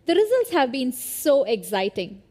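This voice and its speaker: Female corporate presenter, slightly high-pitched voice, scripted product-launch delivery. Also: sing-song, moderate pace, warm tone.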